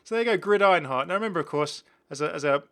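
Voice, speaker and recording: A man's voice: fairly high pitch, lively intonation, racing, a bit bright, smooth. A British man giving casual, enthusiastic game commentary, rambling and often correcting himself as he goes. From United Kingdom. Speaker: gaming video host.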